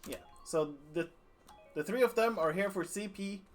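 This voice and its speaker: A man talking through a strategy game on a live stream, his voice sitting a little on the high side. He rambles loosely, thinks aloud, and makes light of himself between plans. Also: normal speed, soft background music. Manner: rambling, relaxed, self-deprecating. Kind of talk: casual game stream commentary.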